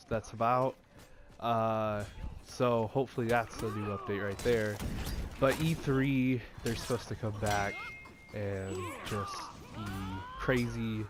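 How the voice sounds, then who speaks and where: Stuffy-nosed young man rambling. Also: fairly low pitch. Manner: rambling, many fillers and restarts. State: stuffy nose. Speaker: gaming channel host. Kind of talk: casual gaming vlog chat.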